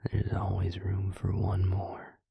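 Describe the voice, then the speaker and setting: Audio roleplay role: devious vampire recruiter, played by a man. Slow, gravelly, breathy male voice, coolly menacing, close and dry. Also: low voice, completely flat delivery, dry recording, muffled recording, exaggerated voice. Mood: coaxing, sinister. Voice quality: husky, breathy, gravelly half-whisper. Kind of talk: intimate whispered audio roleplay, addressed to the listener. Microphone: close, dry microphone.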